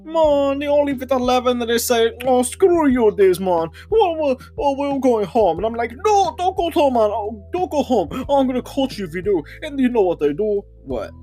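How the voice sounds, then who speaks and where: A man's voice: extremely high pitch, very animated, normal speed, hardly any pauses, mellow, faint music behind. A man voicing a stammering male warehouse supervisor with a put-on Trinidadian accent, who repeats words and ends lines with "man". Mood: indignant. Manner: stammering, touchy and indignant. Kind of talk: improvised comedy podcast character.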